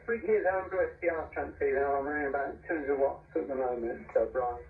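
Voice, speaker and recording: A man with a slightly high voice talks haltingly over amateur radio, as if working out each phrase. The signal is thin and narrow, distant, with some hiss behind it. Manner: hesitant, halting delivery. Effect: heard over shortwave radio. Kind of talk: unscripted two-way radio chat.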